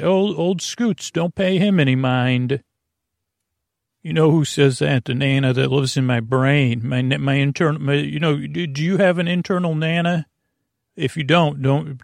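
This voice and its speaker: A man hosting a bedtime podcast in a meandering, halting, self-deprecating monologue with whimsical asides. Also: mid-range voice, creaky, no echo, studio quality. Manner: whimsical, acting out little imaginary exchanges. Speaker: podcast host.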